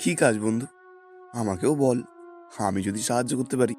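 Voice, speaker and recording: A man's voice: mid-range voice, fairly quick, a little mellow, natural voice. Cartoon sidekick: man as concerned young friend, mid-range voice, familiar tone, over music. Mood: curious. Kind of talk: cartoon moral story dubbing. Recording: music behind the voice.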